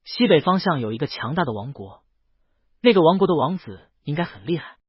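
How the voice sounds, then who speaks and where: A gentle, shy young male dragon from an audio story, acted by a man. His voice is rather high and very husky, with lively swings in pitch and a soft, hesitant sincerity. The recording is dry and dull. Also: a little fast, no echo. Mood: earnest.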